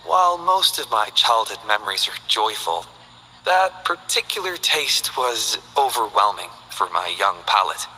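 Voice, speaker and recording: A calm, earnest scholar. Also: male voice, lowish voice, slight background noise, exaggerated voice, low-quality recording. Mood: reflective. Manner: measured, thoughtful, precise delivery. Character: calm, earnest male scholar, reflective and orderly. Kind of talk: game character voice lines.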